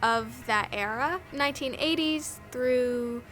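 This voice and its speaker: A woman's voice: high voice, slow, a bit bright. A woman talking casually and enthusiastically in a conversational, interview-style commentary. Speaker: documentary interviewee, filmmaker.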